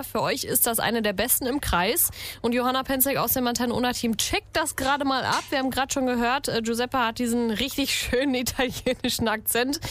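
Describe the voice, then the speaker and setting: A woman's voice: a little high, a bit bright, slight background noise. A woman hosting a radio show in a casual, chatty way, upbeat and lightly teasing. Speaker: radio host.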